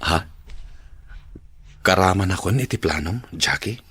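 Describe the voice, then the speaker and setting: A smitten, evasive man in an Ilocano radio drama. His voice sits very low and swings expressively through his lines, with an Ilocano Filipino accent. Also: expressive, a little slow, a bit bright, breathy edge, heavily acted. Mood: hesitant, uneasy. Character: evasive, smitten man juggling two women.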